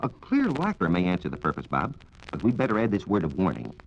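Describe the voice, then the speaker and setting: Male American science reporter, 1940s radio style, lowish pitch, formal precise delivery, dull lo-fi vintage recording. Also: wildly swooping pitch, fast, creaky, a little hiss or hum. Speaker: science reporter answering listener questions. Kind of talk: 1940s radio scripted explanation.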